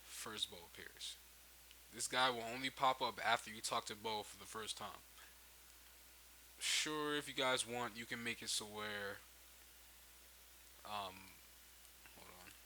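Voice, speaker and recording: A man with a fairly low but strikingly bright, edgy voice hosts a casual tutorial. He talks off the cuff, working things out aloud and doubling back on himself. A light hiss sits behind his home recording. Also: a little slow, pauses often, rough recording. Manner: informal, thinking aloud, backtracking mid-explanation. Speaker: tutorial host. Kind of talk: casual unscripted software tutorial walkthrough. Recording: hissy home microphone, noticeable background noise.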